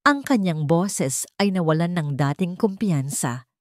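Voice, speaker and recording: A woman's voice: lowish voice, animated, a little slow, dry recording, clean studio sound. A woman reading scripted dramatic narration of a romance story as the storyteller.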